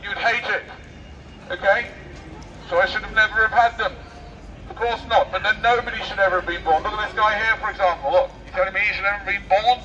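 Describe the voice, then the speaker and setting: A man's voice: high-pitched, steady tone, fast, sharp tone, highs cut off. A middle-aged British man arguing in a public street debate, mocking and provocative, firing rhetorical questions through a megaphone. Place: busy outdoor city street. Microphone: megaphone picked up by a distant camera mic. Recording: megaphone outdoors, distant mic, street noise.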